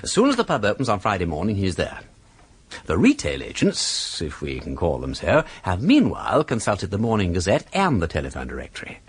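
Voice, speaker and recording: Posh english gentleman detective, lowish husky voice, witty and emphatic, radio play. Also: huge pitch swings, brisk, exaggerated voice. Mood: keen, expository. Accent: upper-class English. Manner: theatrical, emphatic, didactic, drawling. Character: witty, urbane aristocratic gentleman detective, male. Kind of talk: British radio drama acting.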